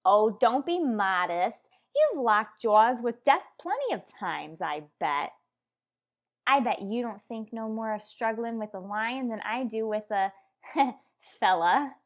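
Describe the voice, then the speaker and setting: A woman's voice: on the high side, expressive, slow. A woman playing a naive, chatty young woman with slangy speech in an old-time radio comedy. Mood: gushing. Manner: chatty, wide-eyed, slangy. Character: naive gossipy adult woman, tavern owner's daughter.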